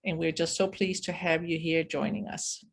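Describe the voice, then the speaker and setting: A woman from Denmark, hosting and presenting a webinar in a measured, welcoming way over a video call. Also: low-pitched, flat delivery. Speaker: webinar host, chief learning officer.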